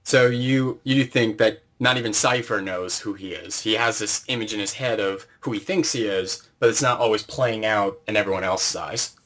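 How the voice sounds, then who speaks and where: A man's voice: lowish voice, moderate pace, hardly any pauses, a bit bright. A man conducting a casual interview, speaking conversationally as the interviewer.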